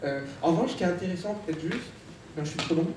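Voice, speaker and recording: A man's voice: on the high side, animated, fast, noisy background. Hesitant man in an echoey hall. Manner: hesitant, many fillers and restarts. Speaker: panel speaker, biographer. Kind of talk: conference panel talk. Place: large lecture hall. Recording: echoey hall, speaker far from mic.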